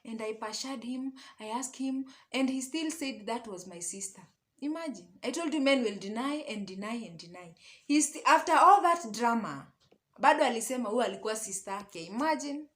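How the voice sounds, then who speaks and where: A young adult Kenyan woman chatting casually in a personal storytime, dramatic and expressive, switching languages mid-sentence and tossing out rhetorical questions. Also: on the high side, moderate pace. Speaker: storytelling vlogger.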